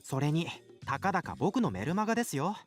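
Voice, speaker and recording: A quick, polite young gossip. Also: male voice, fairly high pitch, sing-song, faint music behind. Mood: chatty. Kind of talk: visual novel voice acting.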